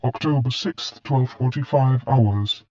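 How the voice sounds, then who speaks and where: A male computer log voice in an audio drama, reading timestamps in clipped, impersonal phrases. The medium-pitched voice sounds dull and lo-fi, as if through a device filter. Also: a little slow, heavy background noise.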